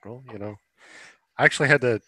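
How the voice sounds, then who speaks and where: A man's voice: medium pitch, quick pace, pushed and bright, no room sound, rough recording. A man hosting a podcast, chatting casually with plenty of swearing and sarcastic exaggeration. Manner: sarcastic, hyperbolic. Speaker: podcast host. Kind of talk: casual, profane podcast chat.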